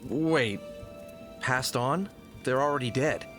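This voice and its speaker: A man's voice: mid-range voice, slow pace, fairly bright tone, faint music behind. A man playing a good-natured, skeptical young hero in an audio drama, talking in a casual, wry, modern way. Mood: puzzled, sober. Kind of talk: audio drama character acting.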